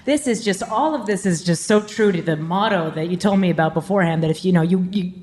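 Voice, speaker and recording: A woman's voice: lowish voice, fast, darkish tone. A woman from Scotland hosting a podcast, asking chatty follow-up questions. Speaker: podcast host. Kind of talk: casual interview.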